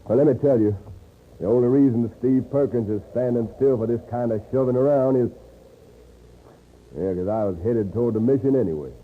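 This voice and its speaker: An actor playing a cocky, grumbling frontier gambler with a folksy Western drawl in a radio drama. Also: male voice, mid-range voice, fairly quick, lightly acted. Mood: grudging, cocky. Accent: American Western drawl. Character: brash, grumbling frontier gambler and horse racer. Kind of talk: old-time radio western acting. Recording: noisy, narrow-band old radio recording.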